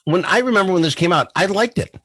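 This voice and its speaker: A man's voice: sits high, lively intonation, fast, a bit bright, dry recording. A man hosting a radio show with casual banter about songs, blunt and argumentative in his opinions.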